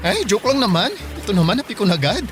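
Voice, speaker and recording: Radio drama best friend voiced by a woman: teenage Filipina, medium pitch, dry, sarcastic, joking. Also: very animated, fast, music in the background, close to an everyday voice. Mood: teasing.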